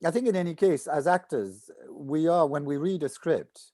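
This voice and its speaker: An older man talking casually as an actor in a rehearsal discussion, halting as he thinks out loud on a video call. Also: a little high, no room sound, studio quality. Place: indoor room at home, video call.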